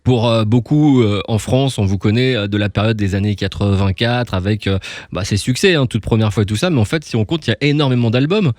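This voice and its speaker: A man's voice: lowish voice, fairly quick, darkish tone, no room sound, clean studio sound. A man interviewing on radio in a casual, chatty, rambling way, often restarting and trailing off.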